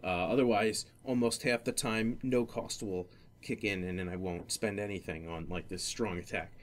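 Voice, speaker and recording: A man's voice: fairly low pitch, steady tone, normal speed. A man hosting a casual gaming podcast, explaining things in a rambling, hesitant way and often correcting himself. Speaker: podcast host.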